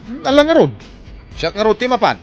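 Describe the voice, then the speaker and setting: A man's voice: very high voice, very animated, dull audio, soft background music. An actor playing a teasing male neighbor in a radio drama, drawing out his words with a playful edge. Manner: teasing, chuckling, drawn-out words.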